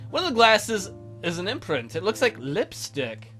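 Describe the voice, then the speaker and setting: Animated, wry male let's play host. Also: sits high, normal speed, soft background music. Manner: wry, sarcastic asides. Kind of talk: casual game commentary.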